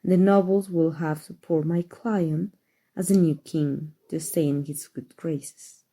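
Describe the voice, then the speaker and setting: Woman acting a dark-voiced, refined vampire noblewoman. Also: sits low, steady tone, exaggerated voice. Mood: disdainful, troubled. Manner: formal, refined, measured, doting speech. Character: powerful, old, aristocratic female vampire, protective and tender. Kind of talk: ASMR roleplay acting.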